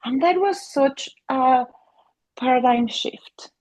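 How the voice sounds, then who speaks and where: A woman's voice: high-pitched, takes it slowly, darkish tone, poor audio. Earnest woman with a Mexican accent. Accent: Mexican Spanish. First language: Spanish. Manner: earnest, many restarts and fillers. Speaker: family therapist. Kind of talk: interview conversation.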